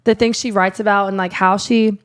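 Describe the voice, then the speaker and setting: A young woman from Iowa with a raspy voice, chatting casually as a podcast guest, full of filler words. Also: medium pitch, fairly even intonation, fairly quick. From Iowa, USA. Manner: rambling, lots of "like" fillers. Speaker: guest, country singer. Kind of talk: casual interview chat.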